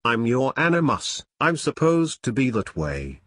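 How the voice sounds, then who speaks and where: A man playing a pompous, sardonic robot animus, exasperated and theatrical, in a scripted comedy sketch, with a husky voice. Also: mid-range voice, wildly swooping pitch, measured pace, fairly bright tone, no echo, studio quality, full character voice. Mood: indignant. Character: fussy, exasperated robot eyeball, a sarcastic male animus.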